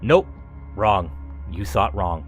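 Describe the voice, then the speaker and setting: A man playing a gruff, menacing wounded survivor who speaks bluntly and hazily in a horror game. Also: low-pitched, very animated, slow pace, faint music behind, pitched below the performer's own voice, heavily acted. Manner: slurred, blunt.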